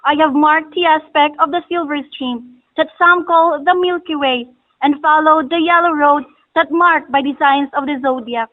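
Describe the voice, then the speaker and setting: A woman acts as a commanding female soothsayer with a high voice, declaiming solemn prophecy in formal drama lines. The recording is muffled and thin, like a phone. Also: moderate pace, hardly any pauses, close to an everyday voice. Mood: solemn, ominous. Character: solemn wise-woman astrologer, ominous and commanding. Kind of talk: stylized stage drama recital of prophecy.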